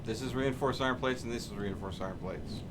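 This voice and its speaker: A man's voice: medium pitch, fast, background noise. A man streaming a video game and bantering casually with a co-player in a wordy, argumentative, exasperated way.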